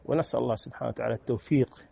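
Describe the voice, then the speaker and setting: A Saudi man with a Saudi accent gives an interview guest's commentary in a husky, gravelly voice. He talks very fast and stays earnest, with frequent drawn-out "aah" fillers between phrases. The audio sounds dull and lo-fi. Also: mid-range voice, fairly even intonation, dark tone. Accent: Saudi Arabic. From Saudi Arabia.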